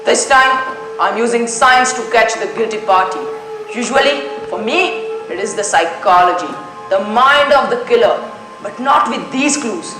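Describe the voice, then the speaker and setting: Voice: medium pitch, expressive, close to an everyday voice. Young student playing a methodical detective on an echoey stage. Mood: pondering. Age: teenager. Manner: deliberate, questioning, formal, with French courtesies. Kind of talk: school stage play acting. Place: indoor school stage. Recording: echoey school stage recording with music.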